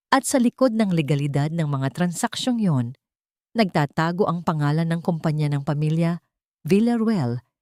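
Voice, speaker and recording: A woman's voice: low voice, dark tone, dry recording, clean studio sound. A woman reading a scripted story as narrator, with an earnest, dramatic delivery.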